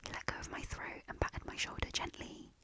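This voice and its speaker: A woman reading a storybook aloud in a soft, hushed storytelling manner. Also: brisk, whispered. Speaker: narrator.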